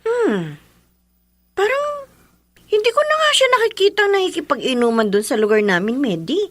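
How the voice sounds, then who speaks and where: An actress playing a chatty, inquisitive Filipino neighbour and friend in a radio drama. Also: female voice, high voice, very animated, normal speed, dry recording. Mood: chatty, curious.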